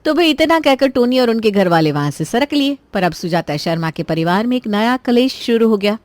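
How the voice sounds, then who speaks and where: A woman's voice: fairly high pitch, expressive, brisk, darkish tone, dry recording. A woman narrator telling a scripted Hindi cartoon moral story in a chatty, folksy style.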